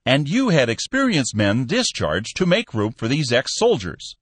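A man's voice: mid-range voice, wildly swooping pitch, moderate pace, breathy edge, dry recording, voice pushed up. A man reading an audiobook, voicing a cold, stern male office manager who talks in a stiff, complaining way. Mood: indignant.

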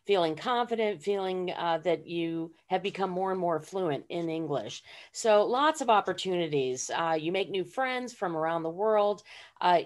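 A woman teaching ESL who describes her classes in an online presentation, hesitating with frequent fillers. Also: medium pitch. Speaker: ESL instructor. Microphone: video call.

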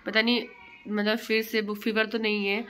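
A woman in her thirties talks into a phone held at arm's length inside her home. Her voice is hoarse from illness, and she chats warmly to her viewers. A faint noise hums in the background. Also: medium pitch, flat delivery, moderate pace. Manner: chatty, addresses viewers as friends. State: hoarse throat, feeling unwell. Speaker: vlogger. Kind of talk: casual vlog narration to camera. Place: home kitchen and living room, indoors.

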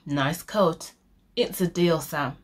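Storybook tiger, played by a woman: vain, greedy, menacing, with boastful drawn-out delivery. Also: deep voice. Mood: pleased, vain.

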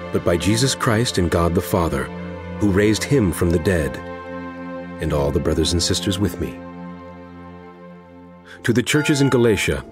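A stern, earnest apostle in an audio Bible drama. The voice is low and swings expressively with solemn, oratorical emphasis. Music plays underneath. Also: male voice. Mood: solemn blessing. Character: impassioned apostle writing a stern, earnest letter. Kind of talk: dramatized scripture reading over music. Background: background music.

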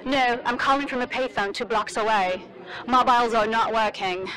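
Woman acting as a high-voiced worried bystander. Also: fairly even intonation, normal speed, a bit bright, natural voice, rough recording. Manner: worried, questioning. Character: uneasy female bystander reporting an accident. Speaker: emergency caller.

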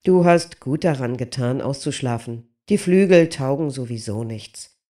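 A reader doing a calm, patient old master. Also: medium pitch, moderate pace, close to an everyday voice. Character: calm wise old master inventor, man.

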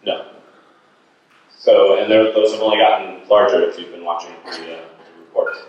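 A man's voice: sits low, steady tone, moderate pace, darkish tone, lo-fi sound. Middle-aged man presenting in a very echoey hall. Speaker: guest speaker, nonprofit director. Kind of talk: informal public presentation with slides. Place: indoor meeting hall. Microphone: handheld microphone through room speakers. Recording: very echoey room, distant sound.

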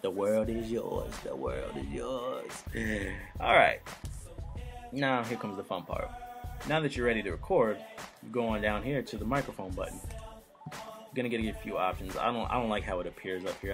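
A young man with a somewhat low voice walks viewers through a tutorial in a loose, joking way. He is recorded on a built-in computer mic in a small room at home, with faint music behind him. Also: lively intonation, normal speed. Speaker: YouTube tutorial host. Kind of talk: casual screen-recorded tutorial.

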